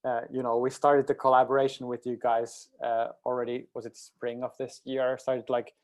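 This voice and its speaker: A man of average pitch talks in a casual way about technical teamwork. He is reflective, feeling his way toward the right words as he speaks. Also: flat delivery, normal speed. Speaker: project team member in a panel discussion.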